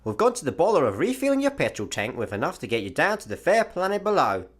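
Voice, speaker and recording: Man playing a lively, matey space layabout. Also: a little high, quick pace. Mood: breezy, cheerful. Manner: breezy, mock-grandiose patter. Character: chirpy, wordy, matey male intergalactic layabout. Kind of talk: sci-fi comedy radio play.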